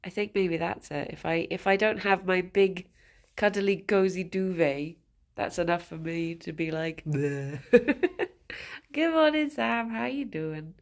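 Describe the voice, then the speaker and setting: A woman's voice: lowish voice, a little slow. A woman with a stuffed-up nose, chatting casually with her live chat, greeting viewers by name and giggling often. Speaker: streamer.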